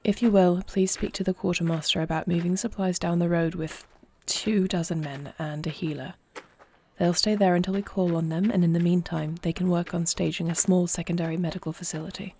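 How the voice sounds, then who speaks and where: A woman playing an earnest young princess and war leader in an audio roleplay, speaking formally in solemn, measured phrases. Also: lowish voice, fairly even intonation, mellow, slight background noise, close to an everyday voice. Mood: composed, commanding. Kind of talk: scripted audio roleplay acting.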